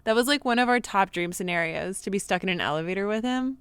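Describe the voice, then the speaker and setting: A neurotic young woman in her twenties confides in her audio diary for a drama podcast. She rambles frankly and puts herself down, sounding anxious and unguarded in a middle-pitched voice. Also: animated, fast talker, a bit bright, clean tone, no room sound. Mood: excited, confiding. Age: 20s. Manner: rambling, self-deprecating, frank. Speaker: lead actor in an audio drama. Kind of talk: confessional audio diary monologue.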